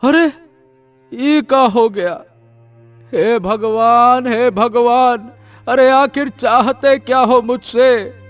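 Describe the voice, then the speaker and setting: A man plays a poor honest woodcutter in a cartoon folk tale. The voice is very high and slow, lamenting in despair, with music underneath. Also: dry recording, full character voice. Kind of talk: animated moral story.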